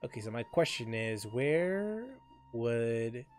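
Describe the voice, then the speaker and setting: A man's voice: medium pitch, lively intonation, takes it slowly, faint music behind. A man streaming a video game, rambling to himself with chuckles and repeated phrases as he plays. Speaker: gaming streamer. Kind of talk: casual gameplay commentary.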